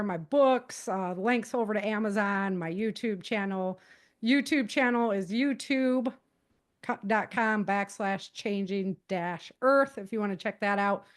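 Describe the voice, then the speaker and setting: A woman's voice: fairly high pitch, unhurried. A middle-aged American woman giving conversational interview answers in an earnest, emphatic and informal way. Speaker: podcast guest, author and self-defense teacher.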